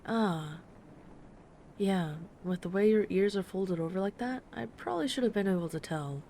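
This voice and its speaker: A woman playing a gentle, affectionate dragon friend in an intimate comforting audio roleplay, speaking softly and tenderly to the listener. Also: mid-range voice, lively intonation, a little hiss or hum, natural voice. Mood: caring, reassuring. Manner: soft-spoken, tender, unhurried reassurance. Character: gentle, affectionate female dragon friend who loves soft, cuddly plushies.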